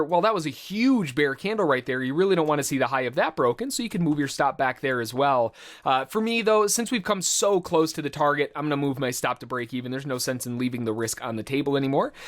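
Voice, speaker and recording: A man's voice: fairly high pitch, fairly bright tone. A man working as a trading instructor, giving informal, explanatory live commentary full of trading jargon in a conversational way.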